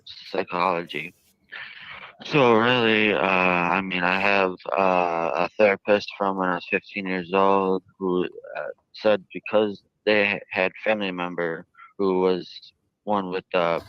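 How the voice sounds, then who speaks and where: An American man being interviewed, explaining himself in a halting, hesitant and wordy way. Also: low voice, clean tone, dry recording. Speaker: jail inmate being interviewed. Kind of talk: recorded interview. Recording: dull, close lo-fi interview audio.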